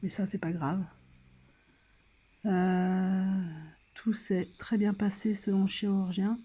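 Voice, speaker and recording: A French woman speaking casually and hesitantly, as in a personal video diary, pausing and trailing off between phrases. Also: medium pitch, flat delivery, mellow, husky. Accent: French, from France. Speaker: vlogger documenting own surgery stay. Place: hospital room.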